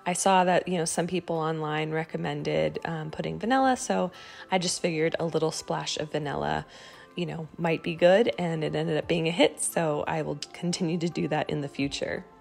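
Lower-voiced American woman, casual recipe voice-over. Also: moderate pace, vocal fry, a little mellow. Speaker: home baking vlogger and host.

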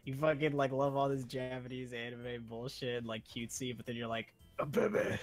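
A woman's voice: very deep voice, little pitch movement, normal speed. A woman in casual livestream chat, talking in an informal, rambling, sweary way. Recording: music behind the voice. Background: background music.

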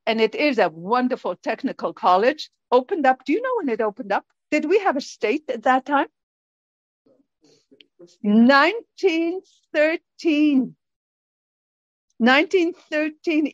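Woman, middle-aged, grew up in Israel, fairly high voice, teaching a group, asks lots of questions, dry dull recording. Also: no echo. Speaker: teacher leading a study group. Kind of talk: informal lecture reading from a book. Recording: dull muffled sound, dry close mic.

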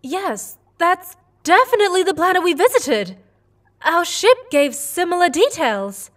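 A woman's voice: very high voice, big pitch swings, measured pace, fairly bright tone, exaggerated voice. A woman playing an anxious, impatient young space voyager in an audio drama.

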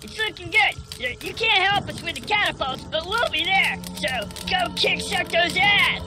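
A man voicing a plucky, anxious little male creature rebel in a video game, talking in excitable slang. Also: very high voice, normal speed, over music. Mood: encouraging. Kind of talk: video game cutscene acting.

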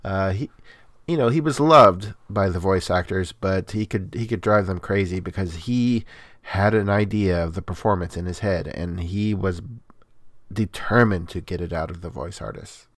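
Deep-voiced man explaining on a podcast. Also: moderate pace, no echo, studio quality. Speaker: podcast co-host. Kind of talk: casual chat.

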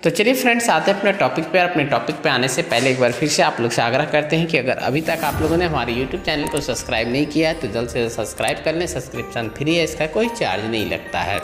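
A man with a fairly high voice reads through a trade lesson line by line, stopping to explain the points. The recording has a roomy echo and a long tail of reverb. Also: lively intonation, quick pace, few pauses, a bit bright, soft background music. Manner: explains step by step, reading from a page. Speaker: trade instructor. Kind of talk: reading out a textbook lesson. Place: voice-over over scanned book pages. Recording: echoey room with long reverb.

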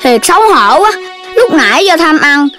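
A performer voicing an excitable, greedy young animal child in a children's cartoon, whiny and exclamatory, with a Southern Vietnamese accent. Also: sits high, normal speed, faint music behind, heavily acted. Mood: sheepish.

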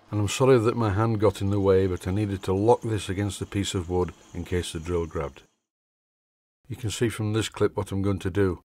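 Older Yorkshire man, low-pitched, measured narrator with dry humour. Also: darkish tone. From Yorkshire, England. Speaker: hobby machinist presenter. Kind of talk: scripted workshop voice-over.

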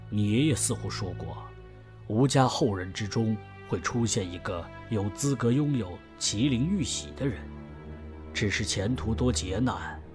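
Older family elder voiced by a man, low and measured, music behind. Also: lightly acted. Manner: calm, measured explaining, resigned sighs. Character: older male family elder keeping old lore. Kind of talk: audio drama acting.

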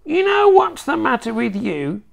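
A woman's voice: high voice, wildly swooping pitch, unhurried, gravelly, voice pushed up, full character voice. A woman voicing a nasty, bossy, gleefully wicked tiny old grandmother in a children's storybook, with a snappish, scornful, emphatic delivery.

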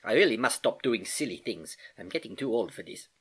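A storybook narrator voicing an elderly, jovial uncle who eagerly and patiently explains science, with animated exclamations and hesitations. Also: mid-range voice, a little fast, slightly rough. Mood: relieved. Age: older.

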